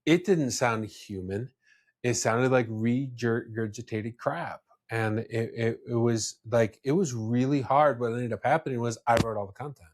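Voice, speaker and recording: A man's voice: mid-range voice, a little slow. A man hosting a casual business discussion, speaking in a blunt, opinionated way. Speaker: podcast host.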